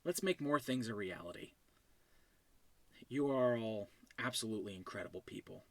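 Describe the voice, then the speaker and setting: A man's voice: lowish voice, expressive, moderate pace, a bit bright, dry recording. A man hosting his own show, talking casually and effusively, emotional and overjoyed, stammering and trailing off as he goes. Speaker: host and creator of an animated web series. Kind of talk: casual unscripted thank-you monologue.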